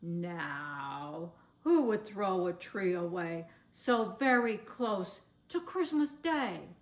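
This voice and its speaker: A woman's voice: fairly low pitch, animated, slow pace, echoey room. A woman voicing a jolly father bear in a storybook, hearty and exclamatory. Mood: pleased. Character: jolly father bear eager to please his family.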